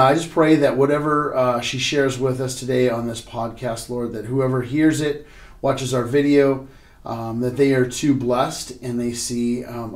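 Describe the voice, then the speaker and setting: Male pastor host, casting: mid-pitched, friendly, filler-heavy, roomy echo. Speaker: pastor and interviewer. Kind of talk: interview and spoken prayer.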